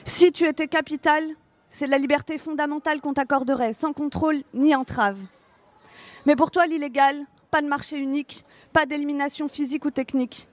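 A woman reciting spoken-word poetry in a measured, rhythmic way with deliberate articulation. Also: sits high, steady tone, no echo.

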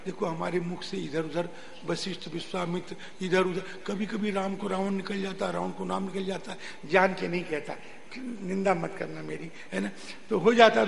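A man preaching a devotional discourse in an animated, exhorting way, acting out dialogue and chanting verses in a drawn-out style. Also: sits high, creaky, slight background noise. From northern India. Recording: long reverberant hall sound.

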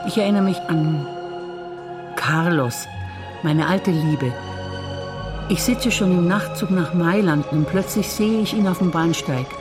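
Woman calmly reciting memories on stage. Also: fairly low pitch, unhurried, long pauses, over music. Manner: calm, reflective storytelling. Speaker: stage actor.

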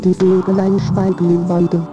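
A synthetic, robotic female voice reciting poetry in a flat, mechanical way. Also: fairly low pitch, normal speed. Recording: dull lo-fi speech synthesizer demo with music.

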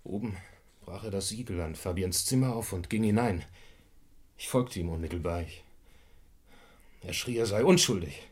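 An actor playing a cornered gangster in a German radio crime drama, bitter and defensive, with terse speech and dramatic emphasis. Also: male voice, sits low, normal speed, long pauses, a little mellow, husky. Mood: grim confession.

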